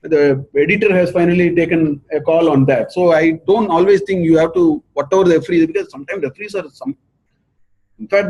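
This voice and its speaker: A man's voice: high voice, darkish tone, husky, poor audio. A middle-aged man with an Indian accent giving an earnest lecture, repeating his points for emphasis. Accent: Indian English. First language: not English. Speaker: professor giving a research talk.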